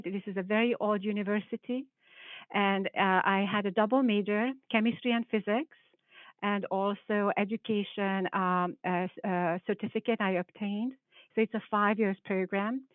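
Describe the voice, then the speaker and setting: Hungarian woman, professor presenting to teens, earnest and halting, thin telephone audio. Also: medium pitch, no echo. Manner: hesitant, restarts phrases, earnest. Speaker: biology professor. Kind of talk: panel presentation with slides. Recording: tinny phone-like call audio.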